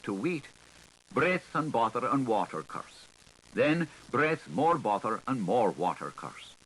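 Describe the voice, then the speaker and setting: Guy reading a literary audiobook, super animated n lilting, hissy 80s recording. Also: mid-range voice, takes it slowly, poor audio. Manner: theatrical, lilting, singsong delivery. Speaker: audiobook narrator.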